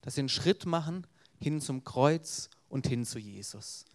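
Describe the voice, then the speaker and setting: A man's voice: mid-range voice, lively intonation, unhurried, darkish tone. A man with a Swabian accent, an evangelist giving an earnest evangelistic sermon with storytelling.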